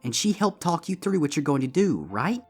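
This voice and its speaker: A woman voicing a gentle, worried and tired mother pony who coaxes softly in a dramatized story reading. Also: deep voice, sing-song, moderate pace, husky, heavily acted. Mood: gentle, reassuring. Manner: soft, soothing, coaxing. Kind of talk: dramatized fan fiction reading. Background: background music.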